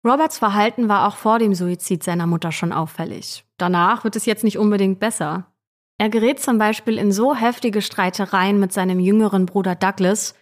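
A woman narrates as co-host of a true-crime podcast. Her delivery is scripted yet conversational, like storytelling aimed straight at listeners. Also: mid-range voice.

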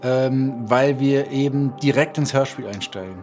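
An author talking casually about writing, thoughtful, with halting restarts. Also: male voice, medium pitch, a little mellow, faint music behind. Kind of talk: casual interview talk.

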